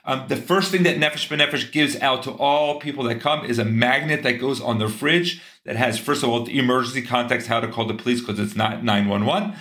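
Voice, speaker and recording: A man's voice: medium pitch, brisk, hardly any pauses, fairly bright tone, echoey room. A man speaking as a guest in a casual interview. He talks earnestly in long runs and restarts his sentences often. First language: English.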